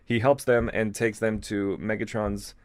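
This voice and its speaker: A man's voice: fairly low pitch, flat delivery, unhurried, darkish tone, dry recording. A man hosting a comedic read-aloud of fan fiction, slipping in mocking asides and ad-libbed jokes. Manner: mocking, ad-libs jokes while reading.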